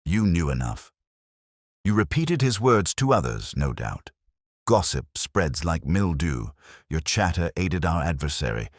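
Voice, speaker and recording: A man's voice: low-pitched, sing-song, a little slow, no echo, clean studio sound, exaggerated voice. A man voicing an imperious, shrewd detective in an audiobook, with formal, clipped, commanding pronouncements. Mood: sharp, reproving. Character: imperious, shrewd male detective, coldly judging.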